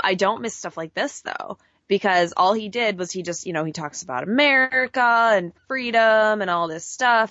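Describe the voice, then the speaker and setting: Woman, mid-pitched voice, casual opinionated co-host, candid, wry asides, dry slightly muffled recording. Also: a bit bright, dry recording. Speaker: podcast co-host reviewing wrestling. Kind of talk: casual chat, opinionated commentary.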